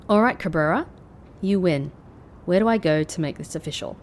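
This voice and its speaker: Woman acting a low, sardonic female secret agent. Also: moderate pace, lightly acted. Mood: resigned. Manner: dry, grudging, sighing. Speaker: voice actor. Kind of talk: anime-style audio drama acting.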